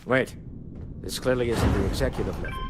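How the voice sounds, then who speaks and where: A man's voice: lowish voice, fairly quick, over music, lightly acted. An actor playing a male companion with dry, self-aware humor. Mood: wry. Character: wry, upbeat male companion, middle-aged.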